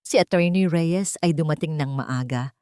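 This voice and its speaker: Low-pitched Filipino woman narrating a drama. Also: normal speed, a little mellow, smooth, dry recording, clean studio sound. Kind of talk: scripted dramatic story narration.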